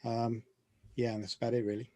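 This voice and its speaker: A man's voice: medium pitch, level tone, fairly quick, mellow. A man on a panel introducing himself hesitantly, with many fillers.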